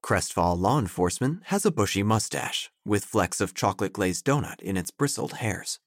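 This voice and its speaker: Low, deadpan storytelling writer. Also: male voice, no room sound, studio quality. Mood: deadpan, florid. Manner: deliberate, deadpan, florid. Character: earnest, wordy, bemused male obituary writer narrating. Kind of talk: scripted audio drama narration. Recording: faint music behind.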